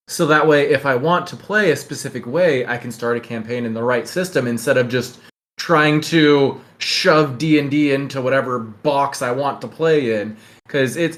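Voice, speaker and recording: Casual man co-hosting a podcast. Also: medium pitch. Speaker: podcast co-host. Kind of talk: casual chat.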